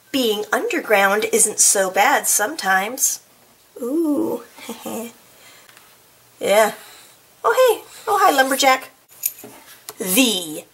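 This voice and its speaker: A woman reading a children's picture book aloud in an expressive, playful way, with casual, chatty asides. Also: on the high side, husky. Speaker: storybook reader.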